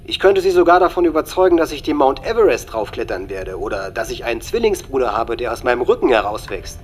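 A man's voice: mid-range voice, sing-song, fast, slight background noise, lightly acted. Intense, cynical teenage boy played by an actor. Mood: agitated, remorseful. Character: angry teenage boy plotting violence, manipulative and boastful. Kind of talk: German radio docudrama acting, diary monologue.

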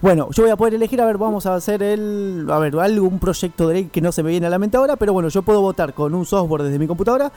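A man's voice: sits high, quick pace, few pauses, warm tone. A man with an Argentine accent interviewing casually on the radio, informal and thinking aloud as he rephrases. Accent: Rioplatense Argentine.

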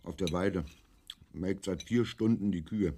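A man's voice: sits low, unhurried, dark tone, a little hiss or hum. An actor in a German radio play playing an earnest, puzzled man who grumbles in clipped phrases. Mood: deadpan.

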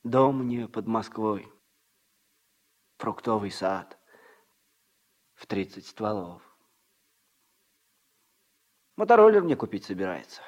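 An actor playing a footloose, self-satisfied womanizer and odd-job man in a Soviet radio drama, speaking colloquially in a husky voice. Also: on the low side, animated, a little slow, pauses often, a little mellow. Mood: boastful.

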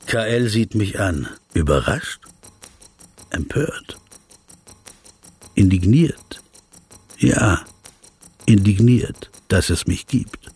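An actor playing a reserved, observant interviewer who narrates his inner thoughts in a literary radio play, in short measured phrases. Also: on the low side, lively intonation, a little mellow, breathy edge, soft background music, full character voice. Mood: pensive, observing.

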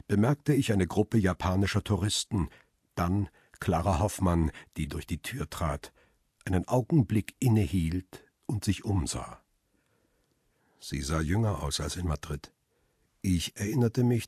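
An actor playing a world-weary middle-aged photographer who narrates a crime radio play in a measured, reflective way. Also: male voice, deep voice, breathy edge, no echo, studio quality. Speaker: first-person narrator.

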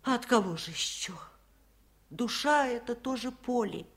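An actress playing a lonely, wise old village aunt in a 1970s radio play, with plain-spoken, folksy, wry delivery. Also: female voice, fairly high pitch, expressive, moderate pace. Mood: tender, earnest. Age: older. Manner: plain-spoken folksy musing, wryly stoic. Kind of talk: 1970s radio drama acting.

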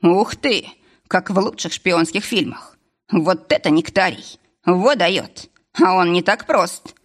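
A woman's voice: lowish voice, animated, takes it slowly. A woman voicing a big, bold, jokey man in an audiobook, with a bantering, boastful manner. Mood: impressed.

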